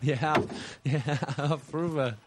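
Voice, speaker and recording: A man's voice: fairly high pitch, steady tone, slow pace, noisy background, pitched above the performer's own voice. A man putting on an exaggerated Australian accent as a laid-back surfer bloke, bantering hesitantly and matily as a comedy podcast host. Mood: matey rambling. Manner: matey, rambling, hesitant.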